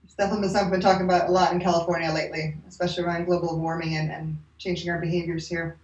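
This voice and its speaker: Woman moderator, quick talker, lowish voice, roomy sound. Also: little pitch movement, darkish tone, lo-fi sound. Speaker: event moderator. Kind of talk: reading audience questions.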